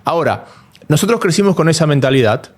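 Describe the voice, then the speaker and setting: A man's voice: a little high, lively intonation, fast. A man from Ecuador co-hosting a casual football talk show, thinking aloud with frequent restarts. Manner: thoughtful, hesitant restarts. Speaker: co-host.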